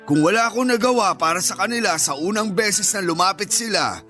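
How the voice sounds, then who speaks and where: A cranky, superstitious uncle who peddles folk cures , played by a man in a radio drama. His voice sits high for a man, he scolds his nephew and spooks easily, and soft music plays behind him. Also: lively intonation, a little fast. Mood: grumbling. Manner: scolding, jumpy and fearful. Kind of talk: Tagalog radio drama acting.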